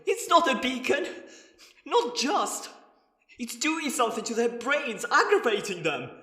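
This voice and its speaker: An anxious young companion , played by a woman, with a bright, forceful voice that swings widely. The delivery is pleading and exasperated, set in a long-echoing space for audio drama. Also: high voice, sing-song, measured pace, exaggerated voice. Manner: exasperated, emotional. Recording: echoey, reverberant space.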